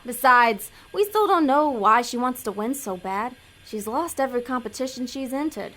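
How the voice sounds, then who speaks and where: A woman's voice: a little high, expressive, moderate pace, a bit bright. An actress playing a bossy, scheming female demon in an audio drama, blunt, slangy and impatient.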